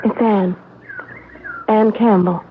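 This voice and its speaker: A woman playing a wistful, dainty young girl in a radio drama, gentle and polite. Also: medium pitch, steady tone, slow pace, lightly acted. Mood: shy, pleased. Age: young adult. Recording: tinny, noisy old radio recording.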